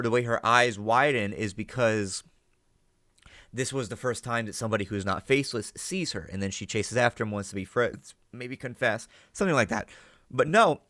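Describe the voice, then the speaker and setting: Guy doing a reaction video, medium pitch, no room echo, thinking out loud and breaking things down casually. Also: studio quality. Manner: ends statements with "right?" as a check; thinks aloud, swears casually. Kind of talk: casual off-the-cuff reaction commentary and analysis.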